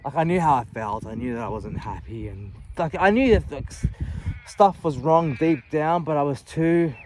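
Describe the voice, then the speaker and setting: A man in his late thirties talking candidly about his life in a reflective, halting way, often restarting and adding "you know". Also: on the high side, lively intonation, a crowd in the background. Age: 30s. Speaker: vlogger. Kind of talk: personal reflective monologue.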